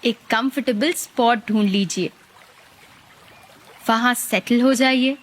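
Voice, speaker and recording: Very slow, higher-pitched woman guiding meditation. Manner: calm, gently instructing, with long pauses. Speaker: meditation guide. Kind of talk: guided meditation narration.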